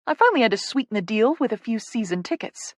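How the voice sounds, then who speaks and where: A woman's voice: on the high side, animated, fast talker, no echo, studio quality. Woman voicing a friendly, unflappable office woman. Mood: friendly, businesslike.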